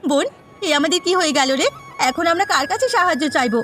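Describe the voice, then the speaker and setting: A woman's voice: very high voice, lively intonation, quick pace, fairly bright tone, slight background noise. A woman voicing an earnest, eager young village snack-seller girl in a cartoon, sing-song and politely pleading. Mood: distressed. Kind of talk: Bengali cartoon voice acting.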